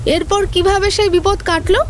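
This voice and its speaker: A woman's voice: very high voice, steady tone, normal speed, a little hiss or hum. A woman playing a grandchild who narrates listening to her grandfather's spooky tale in a scripted horror audio story. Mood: hushed unease.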